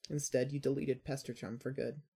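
A man narrating a dramatic second-person story in a solemn, deliberate, emphatic way. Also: mid-range voice, little pitch movement, gravelly, mellow, breathy edge.

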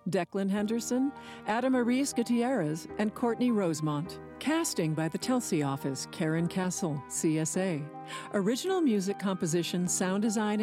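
A woman reading scripted podcast credits as an announcer. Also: fairly low pitch.

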